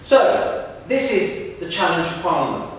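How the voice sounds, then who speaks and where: A middle-aged professor gives a lively public lecture, putting questions to the students in front of him. His voice sits fairly high for a man. He is heard from a distance in a large hall with long, booming reverb. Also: a little slow, a little hiss or hum. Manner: lively, engages the audience with questions. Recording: echoey hall recorded from far off.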